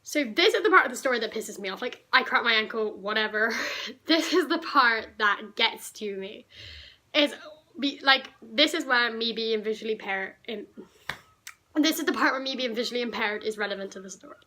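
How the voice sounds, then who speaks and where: A teenage girl from the UK, slightly croaky from a cough, telling a rambling, indignant storytime vlog. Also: on the high side, moderate pace, bright, forceful tone. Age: teens. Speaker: vlogger.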